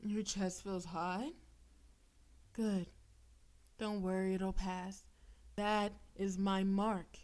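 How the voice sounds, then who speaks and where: Slow, possessive demon girlfriend, voiced by a woman. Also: medium pitch, flat delivery. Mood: commanding, soothing. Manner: slow, teasing, softly commanding, lapsing into breathy whispers. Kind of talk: intimate audio roleplay addressed to the listener.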